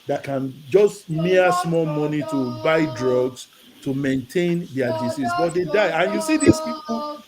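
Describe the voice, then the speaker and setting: A Nigerian man calling in to a discussion show, speaking earnestly in a halting, rambling way with many restarts. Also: a little high, noisy background. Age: adult with children. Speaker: caller. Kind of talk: call-in discussion.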